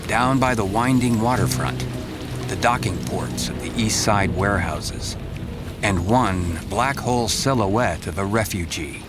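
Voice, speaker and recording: An actor narrating a radio drama as a grave, portentous noir storyteller with measured, poetic phrasing. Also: male voice, on the low side, loud music behind the voice, lightly acted. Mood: ominous.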